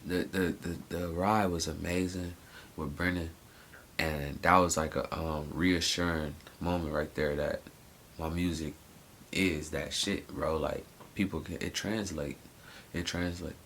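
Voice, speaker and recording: A man in his late twenties rambles through a casual vlog monologue, circling back and restarting his thoughts. His voice sits very low with a rough, gravelly fry. A faint noise floor hums behind him. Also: fairly even intonation, a little slow, a bit bright. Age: 20s. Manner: rambling, slangy, many restarts and asides. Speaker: vlogger.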